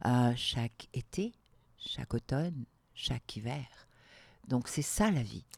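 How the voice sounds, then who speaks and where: An older woman from Morocco and Algeria, speaking as an interview guest in a calm, measured way with emphatic repetitions. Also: a bass voice, creaky, breathy edge, no echo. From born in Morocco, grew up in Algeria. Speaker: guest, entrepreneur. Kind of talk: reflective interview talk.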